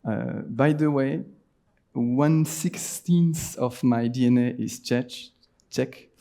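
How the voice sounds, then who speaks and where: A man's voice: mid-range voice, slow, mellow. A man in his twenties with a Swiss French accent, giving a conference presentation with many hesitations and restarts. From Switzerland. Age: 20s. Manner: hesitant, frequent fillers and restarts. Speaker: designer giving a conference talk. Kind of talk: presentation talk.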